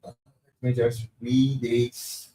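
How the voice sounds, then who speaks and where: A man, co-hosting a late-night talk show, reading a statement aloud and talking it over in a lively way, often repeating words. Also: medium pitch, extremely slow, slight background noise, poor audio. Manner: animated, repeats words when he gets emphatic. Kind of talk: casual studio chat and reading aloud.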